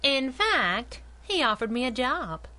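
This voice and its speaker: Sassy young superhero sidekick. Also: female voice, high voice, sing-song, takes it slowly, bright, forceful tone, full character voice. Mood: wry, playful. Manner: wisecracking, slangy, coy. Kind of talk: 1930s-style radio drama acting.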